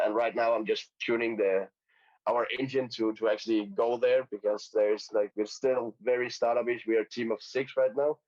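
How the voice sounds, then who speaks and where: A man from Denmark, a podcast guest who talks haltingly, repeating words and using many fillers. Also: fairly low pitch, a little mellow. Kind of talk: casual interview chat. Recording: lo-fi podcast audio.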